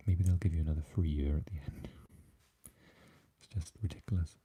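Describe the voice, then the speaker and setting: A man with a Swansea Welsh accent, chatting softly with live viewers and often dropping into an unvoiced whisper. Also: a little fast, breathy edge. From Wales. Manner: mixes unvoiced whispering with low, deep speaking. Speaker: ASMR live streamer reading chat. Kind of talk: soft-spoken live chat with viewers.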